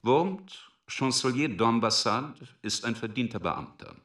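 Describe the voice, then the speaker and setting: A man's voice: medium pitch, expressive, measured pace, fairly bright tone, recorded from a distance. A man narrating a literary radio drama in a scripted, descriptive style. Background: music.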